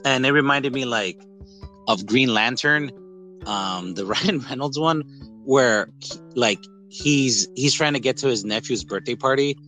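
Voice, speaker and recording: A man in a casual, rambling podcast-style chat reviewing a film, with animated retellings. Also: mid-range voice, measured pace, soft background music. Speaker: podcast host.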